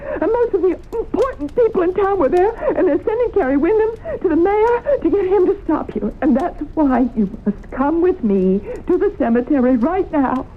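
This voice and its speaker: A woman's voice: extremely high pitch, expressive, moderate pace. An actress playing an anxious, insistent small-town married woman who pleads urgently in an old radio drama. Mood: urgent pleading. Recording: tinny, noisy old radio broadcast recording.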